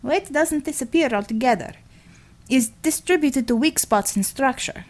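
A woman voicing a proud, sharp-tempered Russian communist commissioner in an audiobook, with a Russian accent and blunt, clipped broken English. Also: sits high, expressive, normal speed, pitched above the performer's own voice. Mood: conceding.

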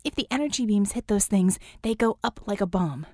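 A woman voicing a brisk, urgent female tech dispatcher for a superhero team in an audiobook, clipped and commanding. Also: mid-range voice, expressive, darkish tone, dry recording, studio quality, close to an everyday voice. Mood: tense, commanding.

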